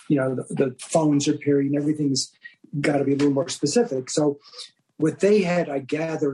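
A man's voice: on the high side, a little mellow, a little hiss or hum, rough recording. A man answering as an interview guest in a discursive, explanatory way. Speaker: podcast guest, audio drama director.

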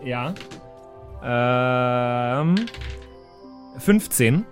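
A man with a medium-pitched voice talks casually as a player and host of a tabletop podcast. Music is clearly audible behind his voice. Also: very slow. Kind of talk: casual chat and tabletop play.

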